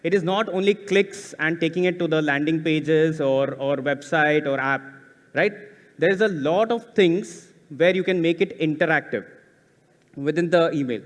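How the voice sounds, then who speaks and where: A man's voice: a little high, normal speed. A man giving a conference presentation, often restarting words and checking in with "right?". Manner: repetitive restarts, frequent "right?" tag questions. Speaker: conference speaker. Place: conference hall. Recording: long hall reverb.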